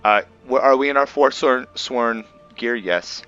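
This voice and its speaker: A man's voice: medium pitch, a little slow, pushed and bright, smooth, highs cut off, soft background music. A man giving casual let's play commentary, musing and explaining as he goes. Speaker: host of a gaming roleplay series.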